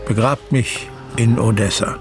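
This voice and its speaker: An actor in a radio play as a weary, self-absorbed middle-aged revolutionary, muttering haltingly and drifting into whispers. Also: male voice, lowish voice, steady tone, lightly acted. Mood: feverish muttering. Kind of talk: radio play acting, interior monologue. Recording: loud music under the voice.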